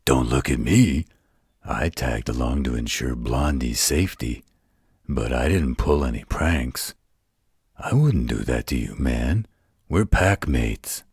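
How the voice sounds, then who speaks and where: Gravelly, extremely deep male supernatural pack mate. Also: expressive, slow pace, darkish tone, dry recording, clean studio sound, exaggerated voice. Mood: defensive, loyal. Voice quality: gravelly, raspy. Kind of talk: scripted roleplay audio drama.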